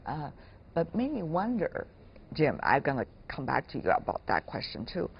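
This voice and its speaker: A woman hosting an interview, putting questions in a measured, deliberate conversational way. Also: deep voice, huge pitch swings. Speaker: interviewer, host. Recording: dull lo-fi sound with clear noise.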